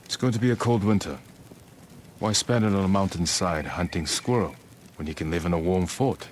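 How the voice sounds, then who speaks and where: An actor playing a steadfast young samurai lord in a video game cutscene, terse, measured and serious. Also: male voice, low-pitched, slight background noise, lightly acted. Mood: grave, resolute.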